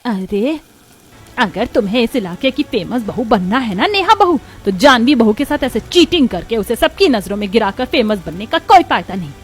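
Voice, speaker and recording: A woman's voice: a little high, animated, normal speed, lightly acted. A woman voicing a stern, scolding Indian mother-in-law in a dramatic Hindi moral story cartoon. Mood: stern, indignant. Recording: music behind the voice. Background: background music.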